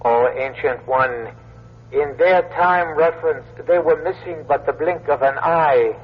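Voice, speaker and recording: Husky, imperious alien envoy. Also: male voice, on the high side, a little slow. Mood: formal, measured. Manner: stately, formal. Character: alien envoy testing Earthlings, formal and imperious. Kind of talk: old-time radio science fiction acting. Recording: muffled old radio drama recording.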